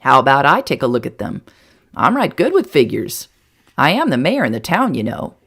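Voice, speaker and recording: Woman doing a folksy kind grandpa, easygoing, kinda gravelly. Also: extremely low pitch, wildly swooping pitch, moderate pace, a bit bright, exaggerated voice. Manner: folksy and reassuring. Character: kindly grandfatherly male town mayor.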